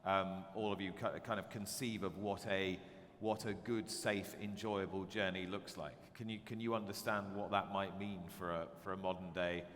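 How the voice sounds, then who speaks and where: A man's voice: sits low, fairly even intonation, normal speed, fairly bright tone, slight background noise. A man moderating a conference panel, asking probing questions and piling up phrasings. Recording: conference hall reverb.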